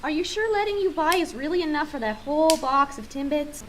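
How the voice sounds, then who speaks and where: Comic radio beaver, played by a woman: high, animated, apologetic guard with a sweet tooth. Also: brisk, a little hiss or hum. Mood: greedy, pleased. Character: fierce but over-polite, sweet-toothed guard beaver. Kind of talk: comic radio play acting.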